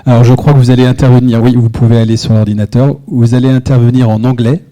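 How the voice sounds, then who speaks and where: A man's voice: mid-range voice, little pitch movement, fast, dark tone. A man moderating a public round table, formally introducing the speakers. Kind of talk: moderating a panel.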